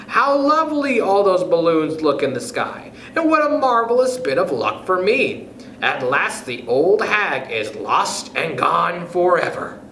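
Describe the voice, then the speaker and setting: A man's voice: high-pitched, very animated, measured pace, pushed and bright, full character voice. A man voicing a mean storybook husband, smug and gloating as he savors each phrase. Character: gloating, mean husband rid of his wife. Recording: echoey room with background noise.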